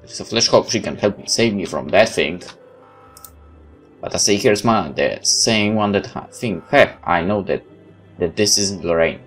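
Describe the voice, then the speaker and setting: A man with a low voice reads a horror game's narration aloud. He is dramatic, sometimes tripping on a word, with music underneath. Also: moderate pace, a bit bright. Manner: stumbles and corrects misreadings. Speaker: Let's Play gamer reading game text aloud. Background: game music.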